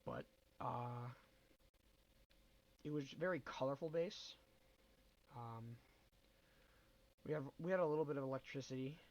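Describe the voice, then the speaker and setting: A man's voice: mid-range voice, measured pace, pauses often, dull audio. A young man with a bad cold hosting a casual game walkthrough, rambling and hesitant with many ums and self-corrections. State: nasal from a cold. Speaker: gaming channel host.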